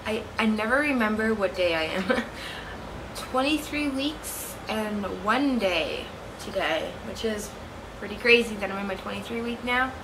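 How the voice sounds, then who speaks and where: A woman's voice: mid-range voice, unhurried. Rambling young Canadian woman over fan noise. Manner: chatty, rambling, self-correcting, giggly. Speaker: vlogger. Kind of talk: casual chat to camera. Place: room at home with a fan running. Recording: steady fan noise behind.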